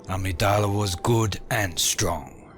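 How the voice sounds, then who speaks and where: Casting a man as a grave, loyal retainer: lowish voice, deliberate slow delivery, stubborn dignity, comic dub. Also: soft background music. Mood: solemn defiance. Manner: deliberate, solemn. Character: loyal, defiant male former courtier, grave and dignified. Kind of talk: sci-fi comic dub acting.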